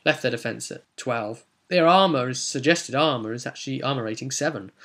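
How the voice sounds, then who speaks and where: A man's voice: medium pitch, brisk, fairly bright tone. A man with a British accent casually recounting a tabletop role-playing session as game master, chatty and explanatory, with asides to the viewer.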